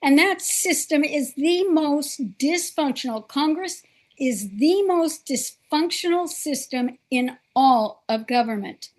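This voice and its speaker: Slow, high-voiced woman political host. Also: lively intonation, darkish tone. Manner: earnest, emphatic, slow and deliberate. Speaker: political talk show host. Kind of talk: conservative political talk.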